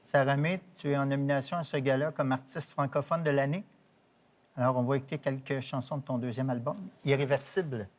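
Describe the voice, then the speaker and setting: Une femme qui anime un talk-show et présente ses invités de façon posée et claire. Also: female voice, extremely low pitch, fairly even intonation. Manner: clear, measured introductions. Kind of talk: TV interview questions.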